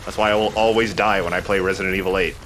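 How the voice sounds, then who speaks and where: Guy doing casual game commentary, bright lowish voice, self-deprecating, some noise behind him. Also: flat delivery, rapid-fire, poor audio. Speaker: let's play host playing the game. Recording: clear background noise. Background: game sound.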